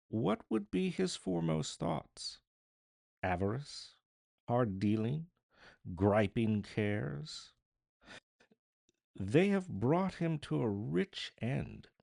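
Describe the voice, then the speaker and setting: A man's voice: medium pitch, very animated, takes it slowly, vocal fry, pauses often, a little mellow, dry recording, clean studio sound, voice pushed up, exaggerated voice. A man playing an anguished, fearful old man in a storybook reading, solemnly beseeching. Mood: grim musing.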